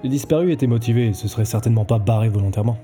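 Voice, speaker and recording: A man's voice: medium pitch, very fast, dark tone, close to an everyday voice. A man acting an earnest, self-assured investigator in an audio drama. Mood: intent. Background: music.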